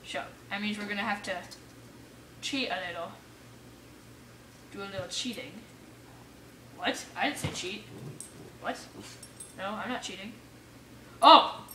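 A woman giving casual let's play commentary, excitable, exclaiming and muttering to herself as she plays. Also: medium pitch, unhurried, pauses often. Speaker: gamer playing an adventure map for viewers. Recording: roomy echo, distant mic, faint noise.

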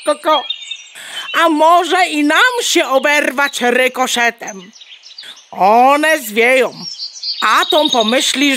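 A woman's voice: sits high, pushed and bright, slight background noise, heavily acted. A woman voicing a bossy, worried talking hen with clucking tics in a children's radio play. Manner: scolding, emphatic, stammering clucks. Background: birdsong.

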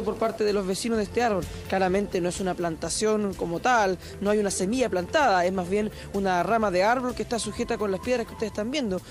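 A young man with a Chilean accent reporting live from a city street corner with a handheld mic, fluent and formal. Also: high-pitched. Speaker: field reporter. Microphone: handheld microphone.

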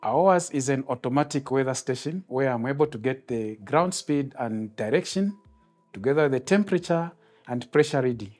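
A man's voice: mid-range voice, lively intonation, moderate pace, a little mellow. A middle-aged man with a Zambian accent, explaining tower procedures in a formal, methodical way for an interview. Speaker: air traffic controller, tower. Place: glass-walled control tower room, indoors. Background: background music.

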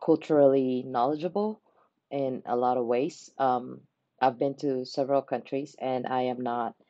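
A young woman from the Philippines, speaking in an unscripted, reflective way. She pauses often to search for her words. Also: a bass voice, steady tone, mellow, no echo, highs cut off. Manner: unhurried, pausing to search for words. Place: small indoor room by a window.

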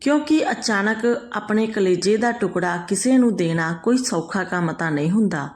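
A woman's voice: mid-range voice, animated, normal speed. A woman reads a scripted story as a storyteller.

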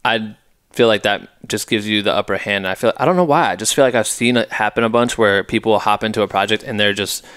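A man's voice: fairly low pitch, fast talker, a bit bright, smooth, dry recording, clean studio sound. A man in his thirties hosting a casual podcast on a headset mic, blunt and swearing freely. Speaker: podcast host, filmmaker. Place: small dining room, indoors.

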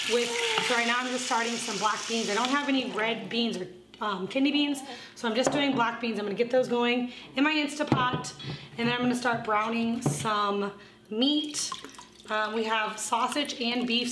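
A chatty woman in her thirties walks through her cooking plans, her voice a little high. She talks from across an echoey home kitchen, and pots and pans clatter loudly behind her. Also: very noisy. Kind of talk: casual talk to camera while cooking. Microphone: camera on a tripod across the kitchen.

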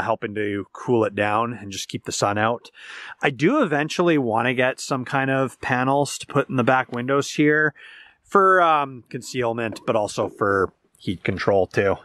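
A man's voice: on the low side, a bit bright, no echo. Casual man vlogging, dry close sound. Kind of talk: casual outdoor vlog chat. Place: outdoors beside and inside a parked truck. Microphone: handheld camera at arm's length.

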